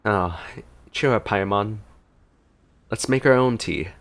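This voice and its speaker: A man's voice: on the low side, slow pace. A man giving casual gameplay reaction commentary, conversational and a little hesitant in his delivery. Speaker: gaming video host.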